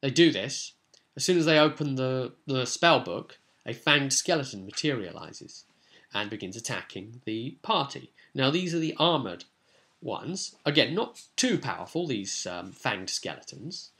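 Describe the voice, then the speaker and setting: A man with an average-pitched voice speaks as the host of a tabletop gaming vlog. His delivery is casual and unscripted, a loose commentary that rambles into animated storytelling asides.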